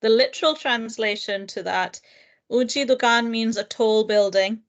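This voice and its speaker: A woman's voice: on the high side, moderate pace, lo-fi sound. Earnest, thoughtful woman speaking as a guest. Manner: thoughtful, earnest, with frequent fillers and soft laughs. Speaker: guest, primary teacher and lecturer. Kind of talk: reflective interview conversation.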